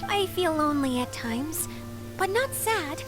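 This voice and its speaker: A woman voicing a gentle, wistful water fairy in a video game, reflective and soft-hearted as she tells her story. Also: sits high, lively intonation, measured pace. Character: gentle, wistful female water fairy, lonely but content. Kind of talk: scripted video game voice acting. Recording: game music behind the voice.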